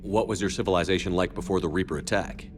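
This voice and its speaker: Man playing a deep, steady military commander. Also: fast talker, noisy background, natural voice. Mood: direct, questioning. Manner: direct, composed, questioning. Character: steady, direct human military commander, diplomatic hero. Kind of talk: video game dialogue acting. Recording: music behind the voice.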